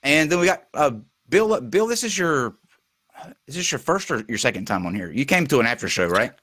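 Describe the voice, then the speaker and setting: A man hosting a casual talk show, losing his voice and talking haltingly with many fillers. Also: medium pitch, big pitch swings, bright, forceful tone, dry recording. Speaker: show host.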